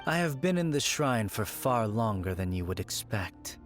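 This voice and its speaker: A man playing a serene, wistful and cryptic shrine dweller in a video game, measured and poetic. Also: on the low side, big pitch swings, loud music behind the voice. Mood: knowing.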